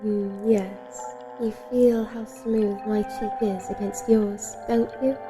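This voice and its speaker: A woman's voice: mid-range voice, flat delivery, slow, over music, close to an everyday voice. A woman playing a refined, coy female vampire with proper phrasing in a horror-comedy audio drama. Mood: seductive. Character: genteel, predatory female vampire immigrant out west.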